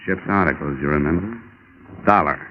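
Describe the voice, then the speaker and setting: A man's voice: rumbling low, normal speed, slight background noise. An American man playing a cynical, clipped, tough insurance investigator in 1950s radio detective drama. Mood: hard, pressing. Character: hard-boiled cynical male insurance investigator, narrating his own case. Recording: dull vintage radio recording with music.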